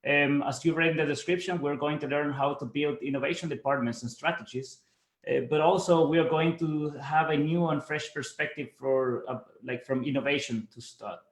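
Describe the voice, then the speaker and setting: A man's voice: a little high, fairly even intonation, normal speed. Young man presenting, echoey room. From El Salvador. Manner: casual, with many fillers like "like" and "you know". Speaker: webinar presenter. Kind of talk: online presentation. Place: home office, based in Germany.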